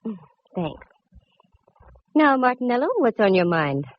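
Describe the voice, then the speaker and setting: An actress playing a sharp-witted, wisecracking female private eye in old radio detective drama, with a wry, brisk, hard-boiled delivery. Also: fairly high pitch, very animated, slight background noise. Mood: dry, brisk. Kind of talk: 1940s-50s radio detective acting and narration. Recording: dull vintage radio recording with music.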